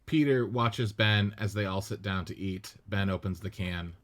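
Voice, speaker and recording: A man reads out stage directions in a plain, matter-of-fact way. His voice sits fairly low. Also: normal speed. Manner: even, matter-of-fact reading. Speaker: narrator reading stage directions. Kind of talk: script reading narration.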